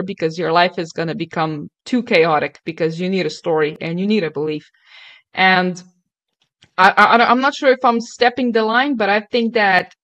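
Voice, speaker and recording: A woman's voice: medium pitch, fairly bright tone. A young woman explaining her thoughts step by step in a conversational discussion, speaking into a close desk microphone. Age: young adult. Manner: explanatory, step-by-step, checking in with okay and right. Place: small indoor room, video call. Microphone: close desk microphone on a boom arm, headset.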